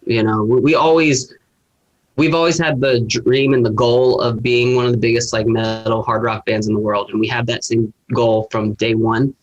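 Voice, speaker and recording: A man's voice: mid-range voice, normal speed, muffled recording. Easygoing young man in a band. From Atlanta, USA. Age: young adult. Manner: relaxed, rambling with fillers. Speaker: band musician. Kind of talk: casual interview answers.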